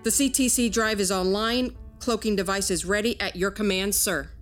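An actress playing a dutiful, deadpan but playful ship's engineer in an audio drama. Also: female voice, medium pitch, moderate pace, soft background music, close to an everyday voice.

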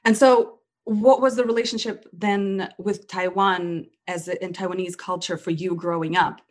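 An upbeat woman hosting an interview, with a fairly low pitch for a woman. She asks quick, curious questions in a conversational way. The sound is dry and close. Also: steady tone, no echo. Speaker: podcast host.

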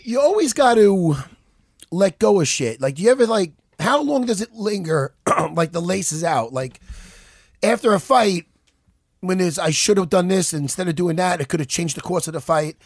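A man co-hosting a casual chat, excitable and scattered, swearing often. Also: sits high, normal speed, no echo, studio quality. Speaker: podcast co-host.

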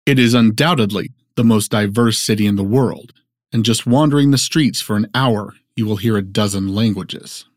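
A man playing a formal, measured narrator who sets out a fictional city's history in an audio drama. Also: on the low side, no room sound, clean studio sound, lightly acted. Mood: measured, factual.

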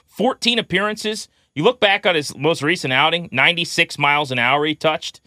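A man's voice: on the high side, moderate pace, pushed and bright, no room sound, studio quality. A man co-hosting a sports podcast, giving conversational baseball analysis in a methodical, explanatory way.

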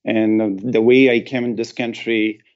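Gravelly Afghan-accented man telling his story. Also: medium pitch, flat delivery, unhurried, a little mellow, muffled recording. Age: 50s. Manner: earnest, emphatic, repeats phrases, non-native grammar. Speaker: interview guest, actor and former military cultural advisor. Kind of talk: personal storytelling in an interview.

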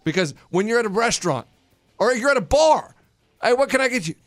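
A young man co-hosting a sports radio show in casual banter, emphatic and loud, acting out little quoted exchanges. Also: extremely high pitch, very animated, brisk, dry recording, soft background music.

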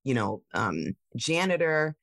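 A woman's voice: rumbling low, slow, dry recording. Chatty woman founder, dry close sound. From United States. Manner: animated storytelling with many fillers and restarts. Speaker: guest, tech founder. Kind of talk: casual interview conversation.

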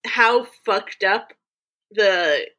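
Raspy, bright-voiced woman joking. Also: mid-range voice, expressive, very slow. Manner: joking, self-deprecating, laughs often. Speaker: podcast co-host. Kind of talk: casual chat.

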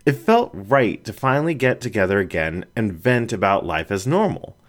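A man narrating a story in a measured, expressive reading. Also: fairly low pitch. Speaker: audiobook narrator. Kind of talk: scripted narration.

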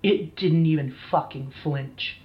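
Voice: mid-range voice, slow, lightly acted. An actor playing a shaken, foul-mouthed military team captain in an audio drama, blunt and weary, on a slightly dull recording. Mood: haunted, angry. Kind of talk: dramatised incident interview, audio drama acting.

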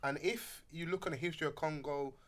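A man's voice: on the high side, fast talker. A young man, chatting animatedly on a podcast and telling stories in slang. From Britain. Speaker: podcast host. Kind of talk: casual podcast chat.

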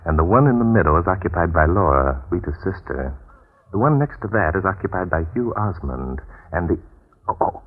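Casting: confident, shrewd detective, low husky voice, commanding, heard on a tinny vintage radio recording. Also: male voice, big pitch swings, fairly quick, heavily acted. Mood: cool, watchful. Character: cool, confident, shrewd male amateur detective. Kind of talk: 1940s radio mystery acting. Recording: muffled, phone-like 1940s radio recording.

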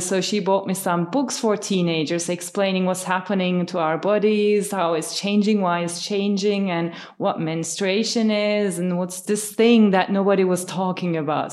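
Woman from Turkey, podcast co-host, calm considered delivery. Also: mid-range voice. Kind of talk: conversational podcast talk.

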